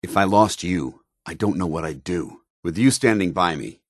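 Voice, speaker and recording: A man's voice: sits low, lively intonation, moderate pace, no echo, clean studio sound. A man playing a stern, earnest soldier loyal to his order, speaking formally and firmly as video game companion dialogue. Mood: earnest, admiring. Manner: formal, clipped, commanding, earnest.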